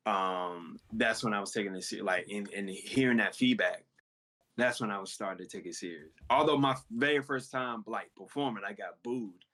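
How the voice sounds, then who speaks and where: A man who raps, answering interview questions in a relaxed, conversational way about recording music. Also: fairly low pitch, moderate pace. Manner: relaxed, explains with many "you know what I'm saying". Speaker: rapper guest. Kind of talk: casual interview talk.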